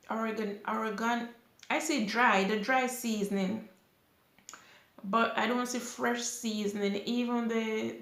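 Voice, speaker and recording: A woman's voice: fairly high pitch, vocal fry. A young woman from Jamaica with a Jamaican accent, chatting casually as she answers viewer questions from her sofa. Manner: unhurried, chatty, with lively rises when emphatic. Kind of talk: casual Q&A chat. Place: small indoor room, seated on a sofa. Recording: echoey room.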